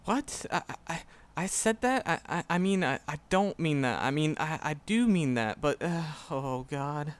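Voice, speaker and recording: Slow, stammering shy professor played by a man. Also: fairly high pitch, lively intonation, smooth. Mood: flustered, startled. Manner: nervous, heavy stammering, hesitant, halting. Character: shy, flustered, lovestruck male magic professor. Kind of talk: roleplay audio drama, speaking softly to the listener.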